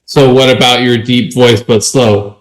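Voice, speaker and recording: A man's voice: mid-range voice, steady tone, unhurried, rough recording. A man co-hosting a podcast, chatting casually about tabletop gaming. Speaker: podcast co-host.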